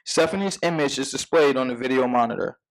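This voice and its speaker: A man's voice: mid-range voice, fast talker, rough recording. A man reading out scene headings and action as narrator at a scripted table read.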